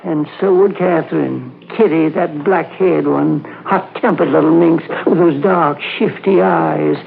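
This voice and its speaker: Cranky, suspicious old man, stammering and gravelly, old-time radio melodrama, tinny sound. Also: a little high, measured pace, slight background noise. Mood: accusing. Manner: agitated, stammering, repeating words. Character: selfish, twisted, paranoid old man, agitated and cranky.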